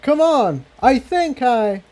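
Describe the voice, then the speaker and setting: A man voicing an urgent, clever male pony adventurer with a hurried manner in a storybook reading. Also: very high voice, animated, a little mellow, voice pushed up, heavily acted. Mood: calling out.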